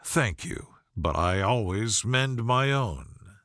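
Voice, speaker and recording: A man voicing a proud, reserved young gentleman in a storybook reading, measured, cool and formal. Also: fairly low pitch, expressive, vocal fry, no room sound, clean studio sound, voice pushed down, full character voice. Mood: cool, curt. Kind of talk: audiobook character voice.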